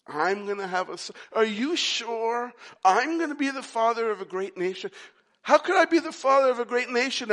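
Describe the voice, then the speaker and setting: This is a very old man who has waited decades for a promise and keeps asking whether he heard it right, played by a preacher in his own sermon. His pleading questions come around again and again. He speaks in a high voice at an unhurried pace. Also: a bit bright.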